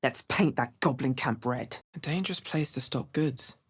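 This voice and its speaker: A woman playing a wry, resolute, watchful adventurer heroine in a video game, with a deep, husky voice and a measured, understated delivery. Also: lively intonation, full character voice. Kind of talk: video game character voice lines.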